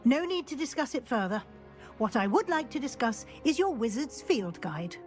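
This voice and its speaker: An actress playing a composed, dignified middle-aged woman professor in a video game drama, speaking in a formal, measured, articulate way. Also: sits high, big pitch swings, darkish tone, faint music behind. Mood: composed, approving.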